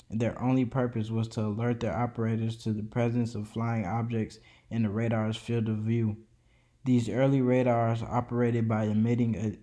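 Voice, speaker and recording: Flat, mellow-voiced man reading a lesson. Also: medium pitch. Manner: reads steadily from a script, with small slips and restarts. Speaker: student presenter. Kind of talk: read-aloud educational narration.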